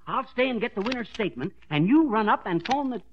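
An actor playing a bumbling, boastful American husband in a 1940s radio comedy, with folksy, stammering bluster. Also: male voice, high voice, lively intonation, fast talker. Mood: eager, hurried. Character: bumbling, boastful husband turned newspaper reporter. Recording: dull, noisy old radio recording.

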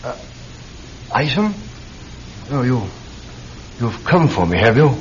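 A frail, ailing lord in an old radio play. He speaks very slowly, dazed and faltering. The recording is dull with light hiss. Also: mid-range voice, sing-song. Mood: dazed, fearful. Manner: faltering, hesitant, slow. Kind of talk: classic radio drama acting.

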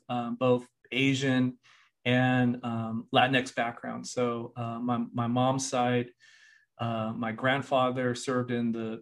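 A man's voice: mid-range voice, a bit bright. A man from California's central coast, a university professor and author, talking in a casual podcast conversation with many fillers and restarts. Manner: rambling, many fillers and restarts, laughs now and then.

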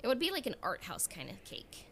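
A woman's voice: mid-range voice, huge pitch swings, a little fast, vocal fry, bright, forceful tone, slight background noise. A woman co-hosting a podcast, chatting casually in a playful, opinionated way. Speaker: podcast co-host. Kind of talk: casual chat.

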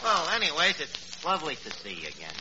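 An actor playing a brash, bumbling New York tavern manager in a 1940s radio comedy, full of boasts and mangled fancy words. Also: male voice, on the high side, wildly swooping pitch, a little fast. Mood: boastful. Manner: wisecracking, bumbling malapropisms. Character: brash, boastful Brooklyn tavern manager wooing an old flame. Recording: noisy, muffled old radio broadcast.

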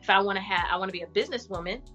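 Bright-voiced actress giving frank advice. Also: female voice, racing, soft background music. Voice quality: deep voice for a woman. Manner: frank, animated advice-giving with casual slang. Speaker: actress and artist guest. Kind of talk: casual podcast interview.

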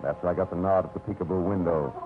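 An actor playing a wisecracking hard-boiled private eye, narrating in a dry, terse manner in a 1940s radio drama. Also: male voice, low-pitched, steady tone, racing, natural voice. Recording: tinny old radio broadcast with music.

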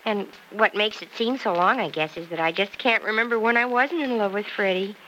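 An actress playing a romantic young married confidante, gently probing and playful, in old-time radio soap style. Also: female voice, mid-range voice, a little fast, lightly acted. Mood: dreamy, playful. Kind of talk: 1940s-50s radio soap acting. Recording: faintly noisy old radio transcription.

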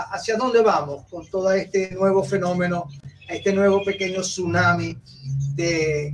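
High-voiced man, distant from the mic. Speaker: interviewer at a book presentation. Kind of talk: spontaneous questioning. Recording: distant microphone, music behind.

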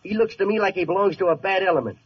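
Man acting brash, high-pitched racketeer boss, muffled radio. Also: very fast, natural voice. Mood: gruff, annoyed. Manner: tough-guy slang with mangled grammar. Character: brash, vain, dim-witted small-time racketeer boss, tough but lovesick. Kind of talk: 1940s radio comedy acting. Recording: muffled, faintly noisy old radio recording.

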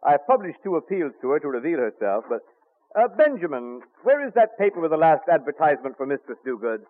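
An actor playing a stern, irascible colonial printer, pompous and blustering in archaic speech, in an old radio drama. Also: male voice, a little high, very animated, fairly quick. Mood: pompous, amused. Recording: muffled, narrow-band old radio broadcast.

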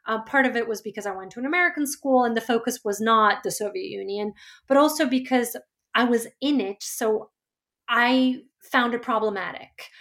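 A woman from Georgia, a first-language Georgian speaker, tells her life story as an author being interviewed, reflective and often pausing on fillers and restarts. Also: on the high side, vocal fry, a bit bright. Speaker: author and guest.

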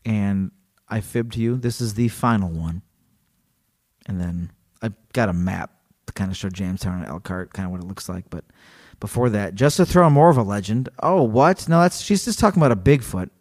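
A man's voice: lowish voice, moderate pace. Joking male podcast host reading aloud. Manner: animated, joking, laughs often. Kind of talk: casual chat, reading a story aloud.

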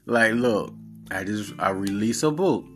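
A man's voice: on the low side, expressive, measured pace, fairly bright tone, faint music behind. A man in his forties with a Southern accent, a podcast host talking in a blunt, profane, earnest monologue. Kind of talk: casual monologue.

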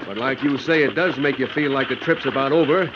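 An actor playing a friendly pioneer wagon master in an old radio western, with folksy, hearty speech. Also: male voice, mid-range voice, fairly quick, lightly acted. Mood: eager. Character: friendly, plain-spoken pioneer wagon master, married man. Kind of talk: 1940s-50s radio western acting. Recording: muffled noisy vintage radio recording.

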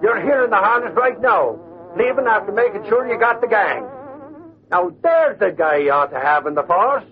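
An actor playing an eager, questioning police sidekick in an old-time radio drama, excitable and folksy. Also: male voice, high voice, normal speed, a crowd in the background. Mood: amazed. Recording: tinny vintage radio, faint noise.

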